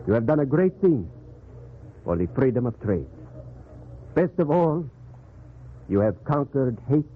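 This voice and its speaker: An actor playing a kindly, steadfast priest in an earnest 1940s radio drama manner, measured and declamatory. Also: male voice, mid-range voice. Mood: solemn, proud. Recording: tinny, noisy 1940s radio recording.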